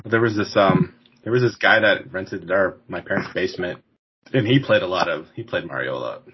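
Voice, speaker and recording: A man's voice: low voice, fairly quick, a little hiss or hum. A man in his forties hosting a podcast interview, rambling casually with frequent laughs and restarts. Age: 40s. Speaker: podcast host. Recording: dull lo-fi sound.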